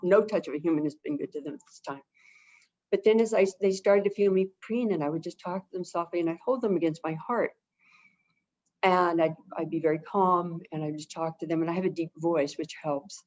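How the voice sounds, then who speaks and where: A woman who is an avian behavior expert, explaining things calmly and gently as a webinar guest and repeating phrases for emphasis. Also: fairly low pitch, brisk. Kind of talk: casual explanatory talk. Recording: dull lo-fi webinar audio.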